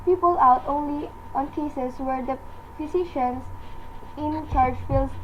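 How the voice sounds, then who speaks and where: A woman playing a polite, unhurried, formal hospital receptionist and operator in a radio drama. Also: high-pitched. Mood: formal, patient. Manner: formal, patient, officious. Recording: dull, slightly noisy recording.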